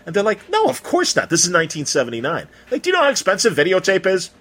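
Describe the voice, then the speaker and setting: A man's voice: high-pitched, huge pitch swings, fast, fairly bright tone, breathy edge. A middle-aged man hosting a casual interview in an informal, rambling way, circling around his questions. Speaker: radio host interviewing an author.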